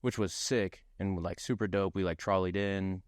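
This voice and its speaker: A man's voice: low-pitched, steady tone, moderate pace, no room sound, clean studio sound. A man chatting and telling stories casually as a podcast co-host, full of slang and swearing. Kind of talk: casual chat and storytelling.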